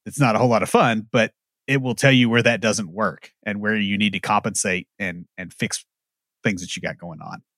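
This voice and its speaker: Male podcast co-host, lower pitch, thoughtful explainer, dry close mic. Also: moderate pace, a bit bright, no echo. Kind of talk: casual conversation.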